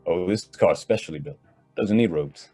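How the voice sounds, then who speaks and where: A man's voice: sits low, normal speed, dark tone, breathy edge, soft background music. An actor playing a nonchalant young rich heir in an old-time radio drama, casually boastful about his family's wealth. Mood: polite, breezy. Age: young adult.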